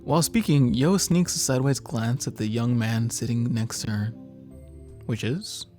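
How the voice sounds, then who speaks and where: A man's voice: mid-range voice, moderate pace, darkish tone. Man reading a visual novel, jokey. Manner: jokey, giggly, stumbles over read-out text. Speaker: streamer narrating a game. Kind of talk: casual let's play, reading visual novel text aloud. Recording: background game music.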